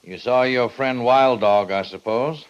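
An actor playing a stern, by-the-book army major in a 1950s radio western, giving orders formally and with authority. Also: male voice, medium pitch, unhurried, clear, resonant tone, close to an everyday voice. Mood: stern, official. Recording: muffled old radio recording.

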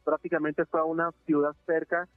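A man from Venezuela, giving a halting, earnest testimony in a phone interview, full of filler sounds and restarts. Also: fairly high pitch, flat delivery, no echo, soft background music. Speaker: interviewee, deported migrant. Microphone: phone line. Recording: narrowband phone line, dry.

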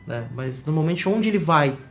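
Interviewer asking rambling questions. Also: male voice, fairly high pitch, lively intonation, moderate pace, soft background music. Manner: asks long rambling questions, frequent tag "né".

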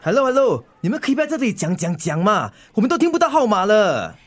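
Rude, swooping-voiced delivery rider. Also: female voice, mid-range voice, big pitch swings, quick pace. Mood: irritated. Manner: curt, complaining, mixing in English. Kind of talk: Mandarin radio drama acting.

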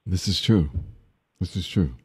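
A man's voice: low-pitched, measured pace, breathy edge, dry recording, clean studio sound. A man hosting a public affairs podcast, interviewing a guest in a casual, affirming way with frequent fillers. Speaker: podcast host.

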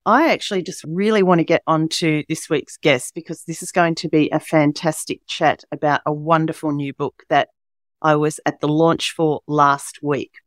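Croaky Australian woman hosting a podcast. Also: deep voice, dry recording, studio quality. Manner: chatty and upbeat, rambling asides. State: croaky, hoarse voice. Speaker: podcast host. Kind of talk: casual podcast chat.

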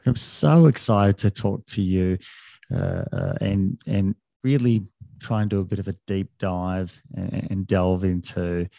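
Man, podcast host, low gravelly voice, dark dry sound, hesitant with restarts. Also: fairly even intonation, measured pace, no room sound. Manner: hesitant, many restarts and fillers. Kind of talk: casual interview.

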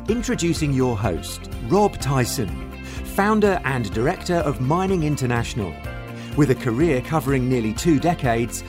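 A man reads a scripted podcast introduction in a deliberate announcer style. His pitch swings widely and expressively. Clear music plays underneath him the whole time. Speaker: show announcer. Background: music bed.

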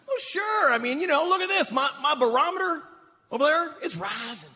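A folksy small-town airport superintendent in a comedic radio play. He is eager and bumbling, high-pitched and swooping, with stammered reassurances that ring out in a reverberant theatre hall. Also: a little fast, full character voice. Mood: excitable, insistent. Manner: rustic, stammering, reassuring, excitable.

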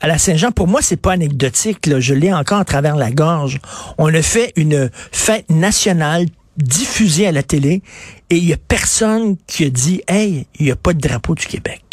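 A man's voice: fairly high pitch, lively intonation, normal speed, no echo, clean studio sound. A man with a Quebec French accent hosting a radio opinion talk, speaking emphatically and indignantly.

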